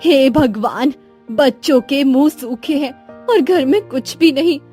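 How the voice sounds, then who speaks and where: A woman acts a poor village wife from a moral storybook. Her high voice is slow, tender and plaintive, with music underneath. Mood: worried. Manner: plaintive, gently reproachful. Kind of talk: acted Hindi moral storybook tale. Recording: music behind the voice.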